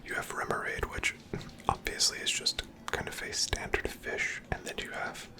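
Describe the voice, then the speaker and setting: An ASMR game streamer speaks entirely in a breathy whisper. The delivery is unhurried and reflective, as if thinking out loud while playing. No full voice comes through, only soft, airy speech. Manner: unhurried, musing aloud. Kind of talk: whispered ASMR gameplay commentary.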